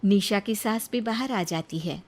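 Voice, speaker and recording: A woman's voice: mid-range voice, measured pace, a little mellow. A woman narrating a scripted moral story as a storyteller.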